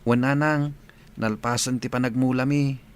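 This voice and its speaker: A man's voice: mid-range voice, fairly even intonation, measured pace, clear, resonant tone, natural voice. Man playing earnest teenage village schoolboy. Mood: earnest, determined. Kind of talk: comedy radio drama acting.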